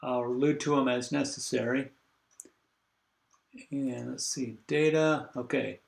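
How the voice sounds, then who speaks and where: An older man lecturing a university class over a video call, congested, halting and full of fillers as he thinks aloud. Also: medium pitch, steady tone, vocal fry, roomy sound.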